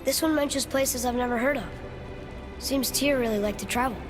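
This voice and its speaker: A man's voice: very high-pitched, a little fast, music in the background. A young male actor playing a curious, cocky boy, the son of a god, in a video game. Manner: inquisitive, youthful, casual.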